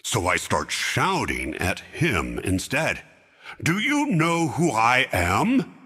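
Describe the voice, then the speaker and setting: A man playing an aging, grief-bent king who slowly recalls a lost friend in a fan audio drama. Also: medium pitch, wildly swooping pitch, bright, forceful tone, breathy edge, big-room sound, heavily acted. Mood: grieving. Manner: slow, heavy reminiscing. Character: grieving aging king, stern yet sorrowful.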